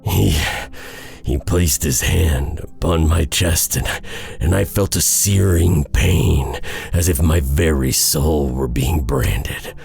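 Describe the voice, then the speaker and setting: A man playing a doomed, remorseful werewolf in a storybook tale, confessing in a halting, haunted and bitter way. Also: rumbling low, vocal fry, darkish tone, faint music behind, exaggerated voice. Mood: faltering dread.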